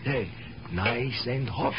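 An actor playing a kindly, fussing immigrant shopkeeper in a radio drama, repeating himself in broken English. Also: male voice, medium pitch, slow pace, lightly acted. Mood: soothing, coaxing. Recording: muffled old radio broadcast, faint hiss.

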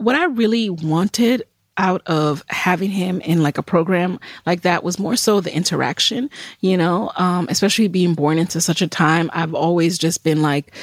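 A woman's voice: on the low side, no room sound, clean studio sound. A woman in her thirties from Jamaica, hosting a solo podcast in a candid, chatty way with many fillers and restarts. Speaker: podcast host. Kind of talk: solo podcast update, casual chat.

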